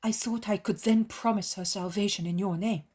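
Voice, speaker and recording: A woman's voice: mid-range voice, fairly even intonation, moderate pace, a little mellow, husky, close to an everyday voice. A woman voicing the apostle Andrew, a humble fisherman disciple, telling his story earnestly and reverently. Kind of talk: devotional reading.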